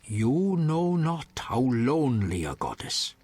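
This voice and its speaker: An actor playing a solemn, reverent hermit in a fantasy video game, with formal, measured phrasing and a husky voice. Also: male voice, medium pitch, very animated, a little mellow, no room sound, exaggerated voice. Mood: reverent, wistful.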